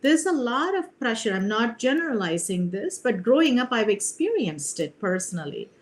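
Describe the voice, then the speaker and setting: A woman's voice: a little high, sing-song, moderate pace, a little hiss or hum. A middle-aged woman from India, a leadership coach speaking earnestly on a video call, often adding "you know" and "right?". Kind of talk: reflective interview talk.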